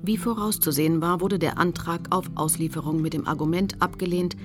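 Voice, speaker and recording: Radio drama role for an actress: resolute female activist, lower register, sober literary telling over music. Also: steady tone, natural voice. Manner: measured, factual, literary reading. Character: determined woman activist recounting her memoir. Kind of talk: scripted documentary radio drama narration.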